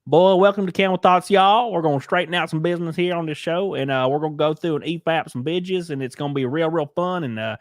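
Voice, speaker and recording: A man's voice: fairly high pitch, fast, few pauses, no echo, clean studio sound, voice pushed up, lightly acted. A man putting on an exaggerated, folksy country-boy streamer voice with a thick drawl. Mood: hammy. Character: over-the-top Southern country-boy streamer, young man.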